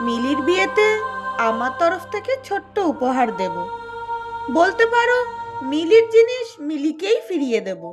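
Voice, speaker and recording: Cartoon role: woman as remorseful aunt, high animated pitch, contrite and emotional. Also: moderate pace, over music. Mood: remorseful, tender. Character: remorseful, once-quarrelsome aunt making amends. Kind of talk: cartoon voice acting.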